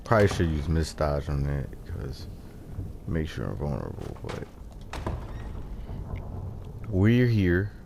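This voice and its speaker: A man commentates casually over his own gameplay in a very deep voice. He talks slowly and offhandedly, half to himself, with a gravelly creak at the bottom of his register. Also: very animated. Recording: game noise under the voice. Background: video game dungeon ambience and combat sounds.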